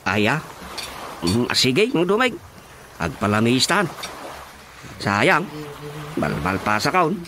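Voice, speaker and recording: Animated, friendly Ilocano provincial man. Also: mid-range voice, takes it slowly, pauses often, slight background noise, exaggerated voice. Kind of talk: Ilocano radio drama acting.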